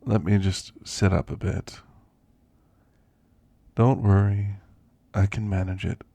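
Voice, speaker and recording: Guy doing a creaky old grandpa werewolf, asmr roleplay, gentle n teasing, super close dry sound. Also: lowish voice, steady tone, dry recording, full character voice. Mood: gentle, friendly. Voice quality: gravelly, creaky. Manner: unhurried, folksy, gently chatty. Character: kindly, frail elderly male werewolf, teasing and grandfatherly. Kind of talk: ASMR roleplay, intimate character monologue.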